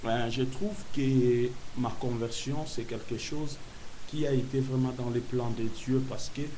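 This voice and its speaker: A man from Kinshasa, a guest preacher recounting his conversion in an interview, speaking hesitantly with many pauses. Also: mid-range voice, fairly even intonation, dark tone, poor audio. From Kinshasa, Congo. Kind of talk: testimony interview. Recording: hissy broadcast recording.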